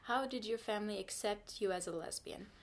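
A woman's voice: mid-range voice, a little fast. Young woman, native Turkish speaker, earnest and frank, casual bedroom vlog to camera. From Turkey. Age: young adult. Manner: earnest, frank, advice-giving. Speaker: vlogger. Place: small bedroom indoors. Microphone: camera in front at desk distance.

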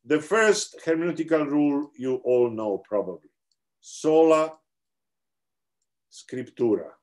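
Serbian accent guy teaching theology on a video call, kinda high voice, earnest, restarts his sentences a lot. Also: animated, takes it slowly, darkish tone. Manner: earnest and explanatory, with frequent restarts. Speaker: theology lecturer. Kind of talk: online seminar lecture.

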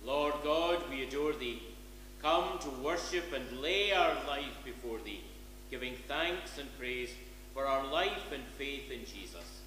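A man's voice: medium pitch, animated, unhurried, bright, forceful tone. A man speaking as a minister, praying in a solemn, declaiming, formal liturgical style in a large church. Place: large church, behind communion table. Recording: echoey church hall, hum and noise.

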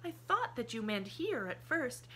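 A woman voicing an eager, cheerful little girl in an audiobook, bright, breathless and guileless. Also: a little high, very animated, unhurried, slight background noise, voice pushed up. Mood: innocent. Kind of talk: audiobook character voice.

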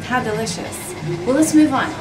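A woman's voice: high-pitched, normal speed, heavy background noise. A woman in her forties hosting a food show, asking eager, enthusiastic questions on location in a cheese shop. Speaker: host. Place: busy cheese shop, indoors. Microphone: camera mic from a distance. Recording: echoey shop recorded from far off with loud crowd.

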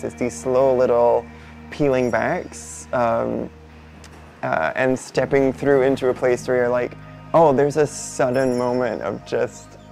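A young non-binary speaker from Barbados talks in a thoughtful, unhurried manner, pausing on soft fillers. Their mid-range voice carries a gravelly fry, and quiet music sits faintly beneath it. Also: male voice, medium pitch. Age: 20s. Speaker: interviewee. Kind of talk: reflective personal interview. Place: indoor studio before a painted backdrop.

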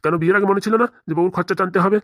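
A man's voice: high voice, fairly even intonation, very fast, no room sound, clean studio sound. A man playing a stern, protective middle-aged father-in-law who lectures insistently in a comic cartoon. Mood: scolding. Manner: insistent, lecturing, rhetorical questions. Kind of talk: comic cartoon drama dialogue.

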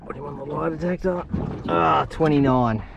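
An Australian woman chatting casually while fishing from a small boat outdoors, excitable and cheering, caught on an action camera. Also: low-pitched, moderate pace, vocal fry. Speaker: fishing vlogger. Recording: dull lo-fi sound with loud wind and water.

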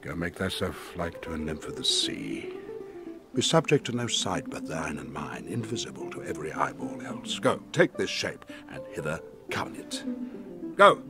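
An actor playing a commanding, stern sorcerer in a Shakespearean audio play, imperious and theatrical, moving between hushed and thundering. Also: male voice, rumbling low, very animated, normal speed, music in the background, heavily acted. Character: commanding, stern male sorcerer master and father. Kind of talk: Shakespearean audio drama acting.

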